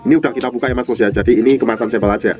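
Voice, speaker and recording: A man's voice: medium pitch, fairly even intonation, a little mellow, soft background music. A man with a cold and a blocked, nasal voice, demonstrating products casually for an online shop and calling the viewer "boss" all the time. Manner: fast and informal, calls viewer "Mas Bos" constantly. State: stuffy nose from a cold. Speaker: online herbal product seller.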